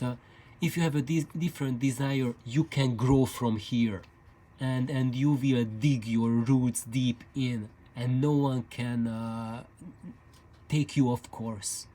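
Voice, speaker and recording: A man's voice: medium pitch, a little mellow, a little hiss or hum. A man giving an informal explainer talk in a hesitant, meandering way, often repeating his words. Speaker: video presenter on loudspeaker building.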